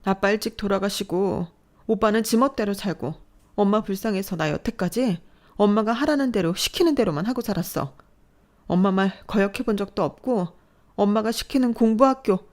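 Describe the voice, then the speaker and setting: A woman's voice: mid-range voice, normal speed, a little mellow, voice pushed up, natural voice. A woman voicing a fragile young adult daughter who speaks in a trembling, pleading, emotional way. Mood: upset.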